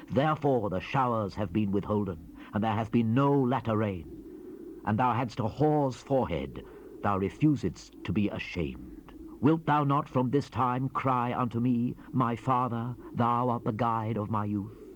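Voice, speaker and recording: A man playing a solemn Old Testament prophet who declaims archaic scripture in a dramatized Bible recording. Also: fairly low pitch. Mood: stern reproach. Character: solemn male Old Testament prophet speaking God's reproaches. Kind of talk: dramatized King James scripture reading. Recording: muffled, hissy 1970s cassette rip. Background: ambient sound effects with birdsong.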